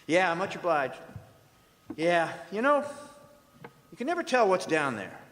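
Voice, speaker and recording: An actor playing a nervous, easily spooked field geologist in stage radio drama style, with hesitant, colloquial speech. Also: male voice, high voice, big pitch swings, unhurried, fairly bright tone, full character voice. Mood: weary, puzzled. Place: performing arts center stage. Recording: reverberant hall.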